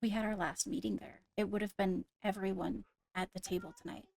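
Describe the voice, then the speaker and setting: A guarded young royal played by a woman in a game roleplay, with a gravelly fry in a mid-pitched voice. She reasons aloud carefully and deliberately, hedging as she weighs each suspect. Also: steady tone, a little mellow. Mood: serious, deliberating. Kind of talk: improvised Minecraft roleplay.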